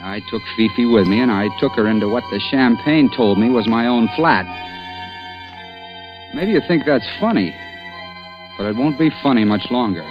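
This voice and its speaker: A man's voice: medium pitch, moderate pace, long pauses, over music, natural voice. An actor playing a stubborn, exasperated man about town in a 1940s-style radio crime drama, speaking in a clipped, dramatic manner. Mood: grim recollection. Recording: dull old-time radio recording.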